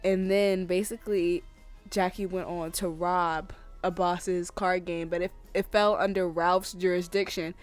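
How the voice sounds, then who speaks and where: A young woman vlogger with a congested cold, chatting at home in a sit-down video and giving opinionated takes full of "like" and sudden animated outbursts. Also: lowish voice, unhurried, faint music behind. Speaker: vlogger reviewing a TV series. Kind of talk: casual sit-down chat to camera.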